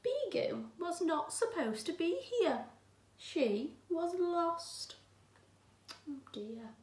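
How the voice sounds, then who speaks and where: A woman's voice: very high-pitched, big pitch swings, takes it slowly, darkish tone. A woman, reading a picture book aloud to young children as an infant teacher, expressively and with encouraging asides. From England. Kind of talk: children's storybook read-aloud. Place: small room at home, indoors. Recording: roomy, echoey indoor sound.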